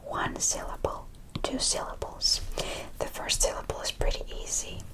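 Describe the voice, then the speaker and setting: A woman giving a slow, whispered ASMR Korean lesson, softly encouraging and praising often, close to the mic. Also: poor audio. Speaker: Korean language teacher.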